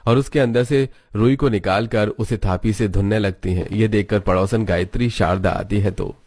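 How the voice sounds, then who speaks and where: A man's voice: fairly low pitch, fairly even intonation, moderate pace, a little mellow, dry recording, studio quality. A man reading scripted storybook narration for a cartoon.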